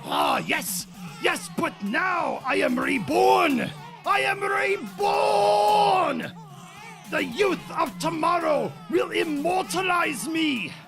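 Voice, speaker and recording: An actor playing a deranged, grandiose outcast villain in an audio drama, declaiming theatrically in a shrill voice. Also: wildly swooping pitch, slow, raised voice, over music, full character voice. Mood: manic triumph. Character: deranged, grandiose forgotten outcast craving immortality.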